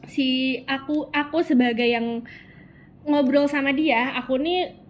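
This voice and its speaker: A woman's voice: high voice, measured pace. A young woman from West Java, a university student, answering interview questions in a chatty, informal way with lots of fillers. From West Java (Sundanese region), Indonesia. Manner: chatty, informal Jakarta slang, frequent fillers. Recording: muffled lo-fi audio with faint background noise.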